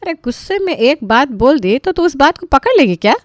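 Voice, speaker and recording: A woman's voice: sits high, sing-song, brisk, dry recording, studio quality. A woman voicing a weary elderly mother-in-law in a cartoon story, grumbling with dramatic self-pity. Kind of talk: animated moral story dialogue.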